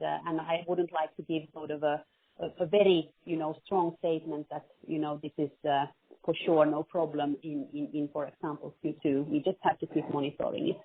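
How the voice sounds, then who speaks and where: A woman speaking as a company finance chief on a conference call, giving careful, measured and spontaneous answers in a business question-and-answer style. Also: low-pitched, flat delivery, dry recording. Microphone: telephone. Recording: narrowband phone conference line.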